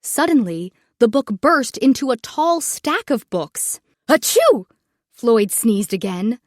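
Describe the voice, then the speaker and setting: A woman narrating a children's storybook in an expressive, measured read-aloud manner. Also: a little high, dry recording, studio quality.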